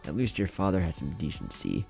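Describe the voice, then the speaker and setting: A man's voice: sits low, sing-song, fairly quick, creaky, darkish tone, faint music behind, exaggerated voice. A man voicing a proud old ninja village leader with a harsh, sneering manner in an anime story.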